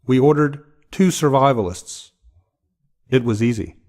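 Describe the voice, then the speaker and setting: A man's voice: mid-range voice, animated, unhurried, darkish tone. A man reading a narrative poem aloud, line by line. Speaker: poetry reader. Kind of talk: poetry recitation.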